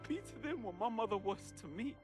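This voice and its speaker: An actor playing a remorseful, devoted young man who confesses and says farewell in a dramatic video game monologue, with slangy phrasing and deliberate pauses. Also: high voice, animated. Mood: emotional, solemn. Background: music.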